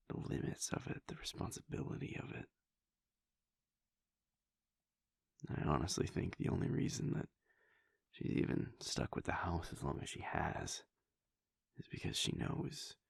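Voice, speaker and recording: A man voicing a weary, brooding male vampire prince in an intimate audio roleplay, speaking in a low husky whisper as a soft confiding monologue. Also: no echo, full character voice. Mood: wry.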